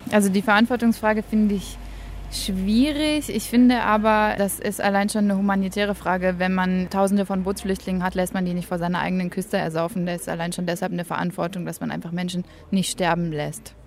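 A woman's voice: lowish voice, steady tone, a little fast. A woman giving a firm opinion with conviction.

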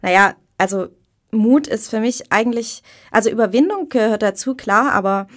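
A woman's voice: fairly high pitch, moderate pace. A woman hosting a radio magazine in a chatty, conversational moderating style. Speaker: radio host.

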